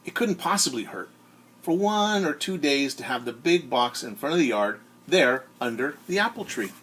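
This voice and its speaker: A man who is a teacher reads a storybook aloud to children in an expressive way, often turning to ask his listeners questions. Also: mid-range voice, moderate pace, slightly rough. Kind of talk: animated storybook read-aloud with asides to young listeners.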